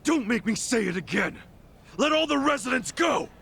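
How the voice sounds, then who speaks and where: A man playing a gutsy, righteous, hot-headed low-ranking yakuza in a game cutscene, blunt and streetwise in his talk. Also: expressive, normal speed, vocal fry, bright, forceful tone, breathy edge, full character voice. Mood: outraged. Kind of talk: dramatic game cutscene voice acting.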